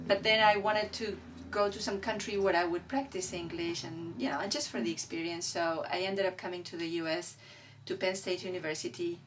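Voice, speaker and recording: Lower-pitched, thoughtful woman. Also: steady tone. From Argentina. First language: Spanish. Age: middle-aged. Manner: thoughtful, halting, searching for words. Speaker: artist being interviewed. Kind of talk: reflective interview talk about own work. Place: indoors beside a displayed print. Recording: distant mic, light background noise.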